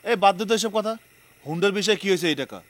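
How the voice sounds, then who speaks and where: A man's voice: high-pitched, animated, racing, fairly bright tone. An actor playing a nosy, grumbling young village man in a comedy drama, needling. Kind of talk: Bengali TV comedy drama acting.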